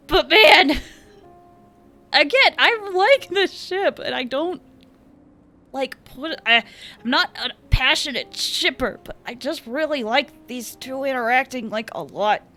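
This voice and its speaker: A woman streaming a game, reacting in a gushing, excitable way with many fillers. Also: high voice, slow, long pauses. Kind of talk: casual gameplay commentary. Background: game music.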